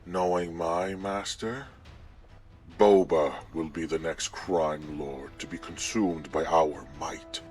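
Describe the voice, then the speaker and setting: A man playing a menacing, commanding Sith lord in a sci-fi audio drama, speaking in a measured, imperious way with a cold, taunting edge. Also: a bass voice, fairly even intonation, darkish tone. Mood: measured, ominous. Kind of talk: scripted sci-fi audio roleplay acting. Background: background music.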